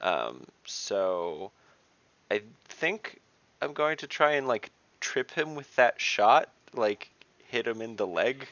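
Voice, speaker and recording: Low gravelly player, bright edge, eager, dull lo-fi call audio. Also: male voice, sing-song, slow pace, no room sound. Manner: reads rules aloud, eager, trailing off with fillers. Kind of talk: casual tabletop game talk.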